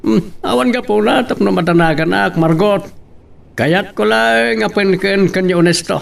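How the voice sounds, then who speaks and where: High-pitched businessman father, gravelly fry, guarded, halting, Ilocano radio drama, slightly distant mic.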